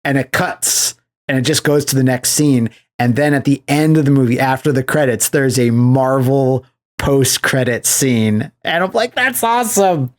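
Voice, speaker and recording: A man with a medium-pitched voice talks casually as a podcast host. He tells things like an animated storyteller, dropping little acted-out quotes into his recaps. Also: normal speed. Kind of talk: casual chat recapping films.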